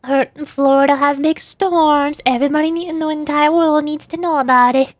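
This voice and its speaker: A woman's voice: high voice, steady tone, normal speed, no room sound. A woman streaming as a VTuber, chatting casually in a playful, rambling way during a game livestream.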